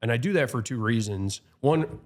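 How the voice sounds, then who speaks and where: A man's voice: mid-range voice, lively intonation, normal speed. A man in his thirties, a firefighter, speaking reflectively with frequent restarts in a casual podcast chat. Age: 30s.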